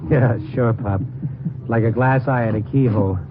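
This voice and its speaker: Actor as a hard-boiled radio reporter, tinny vintage sound. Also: male voice, mid-range voice, normal speed, noisy background, natural voice. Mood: puzzled, wry. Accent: American. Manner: dry, wry, slangy. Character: wry, hard-boiled male night-beat reporter, humoring and worldly. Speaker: narrator and lead actor. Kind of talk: 1950s radio drama narration and dialogue. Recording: band-limited old radio broadcast recording.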